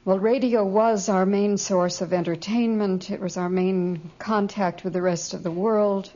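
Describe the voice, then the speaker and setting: A woman's voice: medium pitch, steady tone, a little slow, warm tone. An older woman, an actress reminiscing in an interview. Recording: dull, muffled audio.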